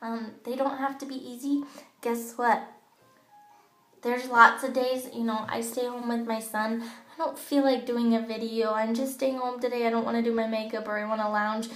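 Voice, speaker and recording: Woman, childish-sounding voice, fairly high, chatty, lots of ums, phone recording in an echoey bathroom. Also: little pitch movement, moderate pace, fairly bright tone, faint music behind. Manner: chatty, with many ums and you-knows. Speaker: direct-sales makeup team leader. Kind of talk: casual training talk to her sales team. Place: home bathroom. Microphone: old smartphone camera.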